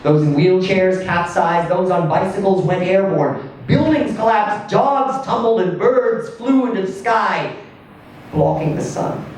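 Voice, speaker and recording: A man reading a literary short story aloud in a theatrical, emphatic manner, with deliberate pauses and swells. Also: sits high. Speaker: story reader. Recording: distant echoey room with faint noise.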